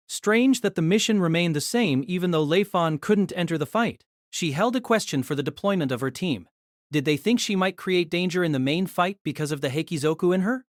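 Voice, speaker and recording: A woman's voice: fairly low pitch, no echo, clean studio sound. A woman narrating a novel in a scripted, dramatic storytelling style.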